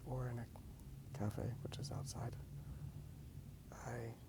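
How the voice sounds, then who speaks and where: A man rambling softly and hesitantly in a hushed ASMR style, recorded outdoors on a handheld phone. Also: mid-range voice, flat delivery, takes it slowly. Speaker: host of a drawing ASMR video. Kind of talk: soft-spoken ASMR rambling. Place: outdoors in a park.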